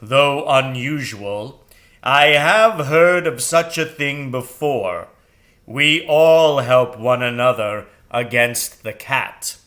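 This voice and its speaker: A man voicing a grave, imperious owl in a storybook, with a deep, round, sonorous voice and formal, deliberate speech. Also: lively intonation, a bit bright. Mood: measured, formal.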